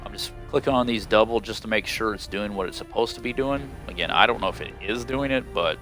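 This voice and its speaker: A man with a slightly stuffy, nasal voice from a cold, casually commenting on gameplay in a rambling, unhurried way with many ums. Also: fairly low pitch, fairly bright tone, faint music behind. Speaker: gaming video host.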